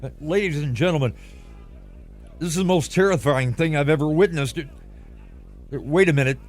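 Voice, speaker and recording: Man playing radio drama field reporter, male, higher voice, frightened, stammering, breathless eyewitness delivery. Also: normal speed, close to an everyday voice. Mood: awed horror. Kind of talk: old-time radio drama acting.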